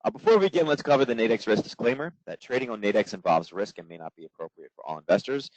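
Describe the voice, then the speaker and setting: Hoarse, fast-talking male trading instructor. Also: fairly low pitch, darkish tone, no room sound. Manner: quick, filler-heavy, conversational. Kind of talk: live webinar presentation. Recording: dull, dry lo-fi webinar audio.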